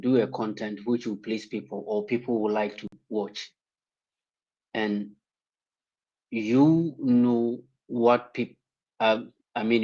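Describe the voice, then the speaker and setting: A man from Ghana offering a prayer and warm advice as a panelist on a livestream call. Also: fairly low pitch, lo-fi sound. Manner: earnest, slow, preacher-like phrasing. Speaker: guest panelist. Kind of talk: prayer and well-wishing on a livestream.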